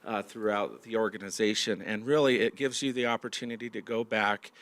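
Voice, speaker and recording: Man losing his voice, halting speech. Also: on the low side, fairly even intonation, a bit bright. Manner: halting, many fillers and restarts. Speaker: county manager hosting a staff meeting. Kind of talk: opening remarks to a large audience. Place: large meeting room with audience.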